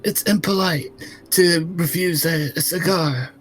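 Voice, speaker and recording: A man's voice: a little high, a little slow. A man playing a tabletop role-playing game in a casual, playful style.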